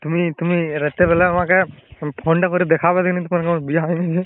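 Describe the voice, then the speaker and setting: A man's voice: sits high, little pitch movement, fast talker. A young man, chatting casually over the phone in a needling, persistently questioning way. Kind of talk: casual teasing phone chat. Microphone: telephone. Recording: noisy tinny phone call with clicks.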